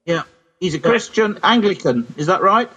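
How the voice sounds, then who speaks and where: A man's voice: high-pitched, lively intonation, moderate pace, pushed and bright, husky, low-quality recording. A British man chatting casually and chummily as co-host of a radio show, with a teasing streak.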